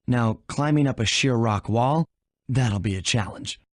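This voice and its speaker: An actor playing a confident young raccoon thief in a video game, casual and unflustered, with an American accent. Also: male voice, mid-range voice, a little slow, no echo, studio quality, natural voice.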